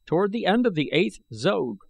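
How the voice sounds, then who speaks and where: A man's voice: high voice, big pitch swings, moderate pace, creaky, pitched above the performer's own voice, full character voice. A man voicing a fawning, self-important male major-domo in an adventure storybook. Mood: smug, taunting. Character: smug, fawning male palace major-domo spreading taunts.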